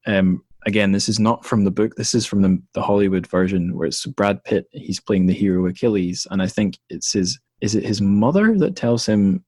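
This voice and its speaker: A man with a very low voice hosts a podcast conversation, thinking aloud and laughing at himself now and then. His voice is close and dry, with almost no room sound. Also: animated, moderate pace, hardly any pauses, a little mellow, breathy edge, no echo. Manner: thoughtful, self-deprecating, laughs often. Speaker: podcast host. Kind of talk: casual interview conversation.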